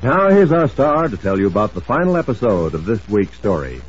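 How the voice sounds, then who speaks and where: A man's voice: lowish voice, sing-song, a little fast. An American man announcing a radio drama in a polished, dramatic 1950s broadcast style. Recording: dull old radio recording. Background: music.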